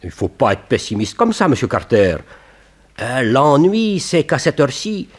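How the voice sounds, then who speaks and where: An actor playing an eager, placating police official in a 1960s French radio serial, with a husky, animated voice. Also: male voice, mid-range voice, moderate pace. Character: eager, reassuring male police official, somewhat complacent.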